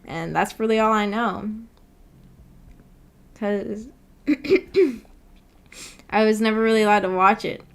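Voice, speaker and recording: A woman's voice: medium pitch, steady tone, slow pace, pauses often, smooth. A woman hosting a livestream, chatting casually and reading out viewer comments in a giggly, chatty way. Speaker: streamer and host.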